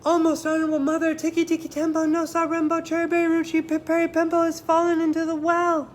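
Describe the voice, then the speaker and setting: Man acting a high-pitched, frantic little boy. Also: monotone, fast talker, slight background noise. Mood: louder, desperate. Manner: shouting long chant-like names breathlessly. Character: small frantic boy pleading urgently for help.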